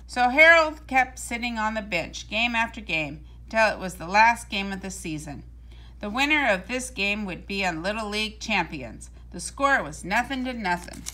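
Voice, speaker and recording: A woman reading a children's storybook aloud in a deliberate, expressive storytelling manner. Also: mid-range voice.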